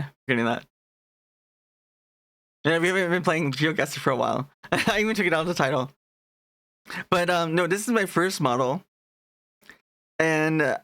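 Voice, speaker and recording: A man's voice: on the high side, creaky, a bit bright, no room sound. A young man chatting casually on a live stream, rambling and restarting his sentences as he greets his chat.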